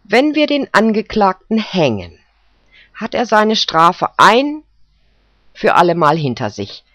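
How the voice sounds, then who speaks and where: A narrator voicing a male fox jury foreman who reads out a formal, deliberate verdict. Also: medium pitch, wildly swooping pitch, bright, forceful tone, no room sound, pitched above the performer's own voice, heavily acted. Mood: solemn. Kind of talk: storybook character voice. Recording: dull, dry recording.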